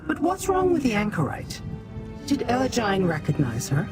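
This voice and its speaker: Formal, lilting fantasy game adviser. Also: female voice, fairly high pitch, huge pitch swings, music in the background. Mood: musing. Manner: formal, measured, articulate. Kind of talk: fantasy video game acting.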